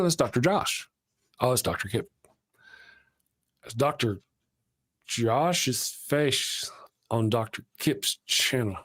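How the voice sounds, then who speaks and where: A man of middle pitch hosts a live stream in a loose, rambling way. He is chatty and quick to make fun of himself. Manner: chatty, rambling and self-deprecating. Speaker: host of a live show. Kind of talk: casual live-stream chat.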